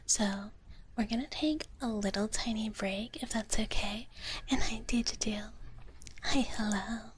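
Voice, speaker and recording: A woman's voice: a little high, slight background noise, lightly acted. Woman playing a whispering, shy dragon big sister. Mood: soft, friendly. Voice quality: breathy whisper. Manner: hesitant, halting, soft-spoken, refers to herself in third person. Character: gentle shy dragon big-sister streamer persona, speaking in third person. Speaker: ASMR livestream host. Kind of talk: soft whispered ASMR chat with live viewers.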